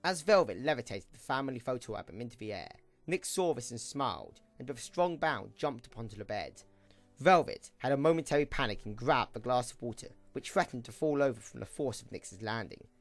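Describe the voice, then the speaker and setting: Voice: medium pitch, lively intonation, a little fast, faint music behind. A narrator reading scripted third-person story narration for an amateur audio drama.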